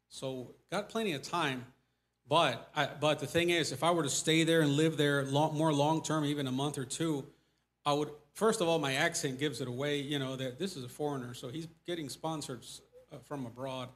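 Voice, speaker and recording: A missionary pastor in his forties with a Mexican accent, speaking casually in a church and taking questions, animated and gesturing as he talks. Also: fairly high pitch. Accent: Mexican Spanish. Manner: animated, gesturing, voice rising when stressing points. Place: church sanctuary before a pulpit. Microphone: lapel mic.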